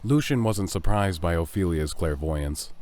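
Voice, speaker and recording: A man's voice: deep voice, animated, unhurried, darkish tone. A man narrating a scripted story for an audio drama.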